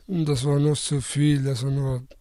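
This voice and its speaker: A young man in his twenties from Afghanistan who answers interview questions haltingly, searching for words and restarting often. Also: mid-range voice, little pitch movement, mellow, dry recording, studio quality. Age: 20s.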